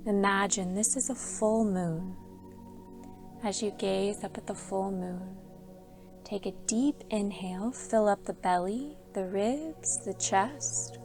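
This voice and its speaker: Woman, yoga instructor, guided sleep meditation, soothing and soft, gravelly fry, gentle music behind. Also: medium pitch. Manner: soft, slow, soothing instructions with calm pauses. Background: soft background music.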